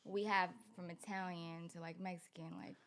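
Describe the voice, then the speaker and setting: A woman's voice: lowish voice, level tone, moderate pace, crowd noise. Slangy Bay young woman. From Vallejo, California. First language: Tagalog. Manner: loose slangy talk full of "like" and restarts. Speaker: guest singer-songwriter. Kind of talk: casual chat.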